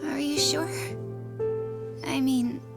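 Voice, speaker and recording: A woman's voice: high-pitched, steady tone, slow pace, vocal fry, full character voice. A woman playing a hesitant young student with a questioning manner, in a video game cutscene. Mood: unsure. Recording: loud music over the voice.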